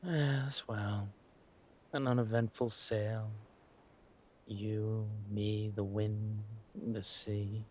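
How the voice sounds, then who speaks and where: A man playing a flirtatious, smug villain king in a roleplay audio drama, talking to his spouse in a husky, teasing, languid way. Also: fairly low pitch, level tone, slight background noise. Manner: teasing, languid, amused, drawn-out phrasing with murmured asides.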